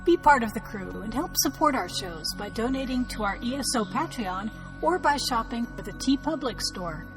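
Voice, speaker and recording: A woman's voice: on the high side, lively intonation, moderate pace, poor audio. A woman reading a scripted promotional announcement for a broadcast network. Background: music.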